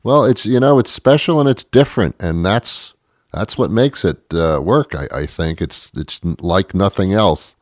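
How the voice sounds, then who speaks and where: A man hosting a casual radio interview, friendly, quick to laugh, and full of short acknowledgements. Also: low-pitched, animated, a little mellow, dry recording, studio quality. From Boston area. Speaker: radio show host.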